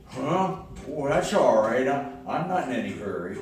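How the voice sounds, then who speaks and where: A man playing an easygoing, curious old grandfather in a live radio play, folksy and unhurried. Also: mid-range voice, sing-song, darkish tone, natural voice. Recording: very echoey hall, distant microphone, noisy.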